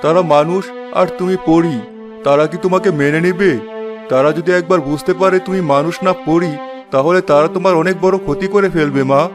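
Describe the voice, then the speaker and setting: A man's voice: a little high, fairly even intonation, fairly quick, music in the background, natural voice. A man voicing a worried, indulgent fairy king and father in a children's cartoon.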